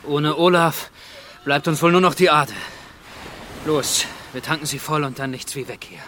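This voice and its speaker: Casting: practical survivor, slightly high voice, brisk radio-play urgency, noisy surroundings. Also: male voice, pushed and bright. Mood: urgent. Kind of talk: German radio drama acting.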